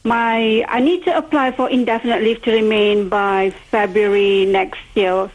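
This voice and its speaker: A woman's voice: medium pitch, fairly even intonation. A woman from Singapore calling into a radio show, asking her question hesitantly over the phone. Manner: hesitant, halting. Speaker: caller. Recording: phone line.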